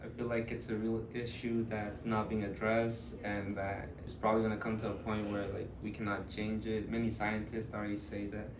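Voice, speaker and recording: A young man in his early twenties from Ecuador, answering interview questions in a thoughtful, hesitant way with many pauses and fillers. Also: lowish voice, monotone, echoey room, distant mic, noisy background. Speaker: student interviewee.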